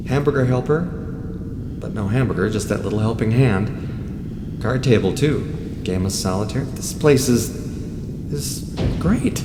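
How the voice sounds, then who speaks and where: Actor as slow-talking, folksy drifter in echoing space. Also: male voice, mid-range voice, lightly acted. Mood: curious, puzzled. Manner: folksy, muttering to himself. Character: down-on-his-luck motorcyclist, nosy, muttering, uneasy man. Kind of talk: audio drama acting with spoken inner monologue. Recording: reverberant hollow space with clear background noise.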